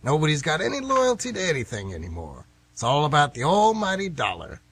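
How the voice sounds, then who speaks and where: A man's voice: fairly high pitch, huge pitch swings, brisk, fairly bright tone, slightly rough, exaggerated voice. A man playing a folksy, nostalgic older baseball fan who rambles emphatically in an amateur radio play. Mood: nostalgic, animated.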